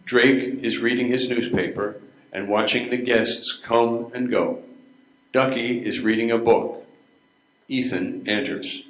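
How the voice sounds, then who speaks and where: A man's voice: mid-range voice, steady tone, slow pace, a bit bright. An older man reading scripted narration as the narrator of a readers theater play. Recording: echoey room.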